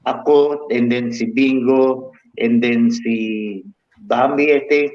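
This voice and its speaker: A man's voice: mid-range voice, steady tone, darkish tone. Echoey, muffled older Filipino man reminiscing. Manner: halting, pausing between short phrases. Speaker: veteran radio personality. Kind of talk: casual reminiscing in mixed Tagalog and English. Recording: dull, roomy, echoing sound.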